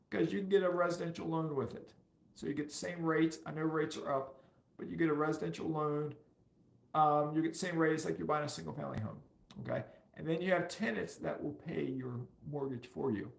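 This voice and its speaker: Creaky-voiced middle-aged man in an echoey room. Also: fairly high pitch. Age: 50s. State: slightly hoarse. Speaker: personal finance livestream host. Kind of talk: casual livestream chat answering viewers. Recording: roomy, echoey sound.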